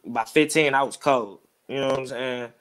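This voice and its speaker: A man's voice: mid-range voice, moderate pace, fairly bright tone. A man in his thirties, a music producer talking casually as an interview guest, in a laid-back, rambling way. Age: 30s. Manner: laid-back, rambling, keeps saying "you know what I'm saying".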